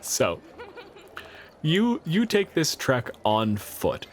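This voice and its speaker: A man's voice: a little high, wildly swooping pitch, drawn-out words, a little hiss or hum. A man improvising a tabletop game story as host, casual and joking, with profanity. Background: music.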